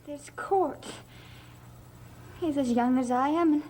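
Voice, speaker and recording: A yearning young woman in an old soap, high-voiced, insistent, music under. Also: slow pace, darkish tone. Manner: wistful, insistent. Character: young woman devoted to a lost love, wistful. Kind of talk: 1960s soap opera acting. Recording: old TV soundtrack with music beneath.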